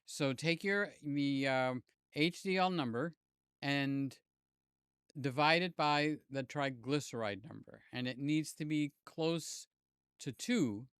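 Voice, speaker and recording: An older man hosting a live stream, chatting loosely with viewers, his voice slightly hoarse. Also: mid-range voice, slow pace, fairly bright tone, no room sound, clean studio sound. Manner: rambling, jokey, talks to chat by name. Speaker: livestream host. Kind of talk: casual live chat with viewers. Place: home room with fans and air conditioner. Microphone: desk mic near the mouth.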